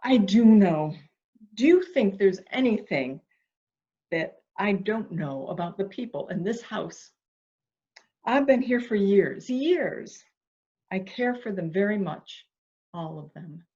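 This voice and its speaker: A woman's voice: medium pitch, lively intonation, slow, warm tone. A woman playing a fretful, intense, protective member of a household in a melodramatic mystery reading, in slightly dull sound. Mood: upset, defensive. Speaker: amateur reader, library staff. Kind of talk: dramatic reading of a murder mystery.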